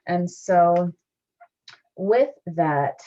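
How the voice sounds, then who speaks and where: A woman moderating an online panel, summing up in measured, deliberate phrases over a lo-fi call. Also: on the low side, expressive, dark tone. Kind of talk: reflective spoken summary at an online panel. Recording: dull, lo-fi online call audio.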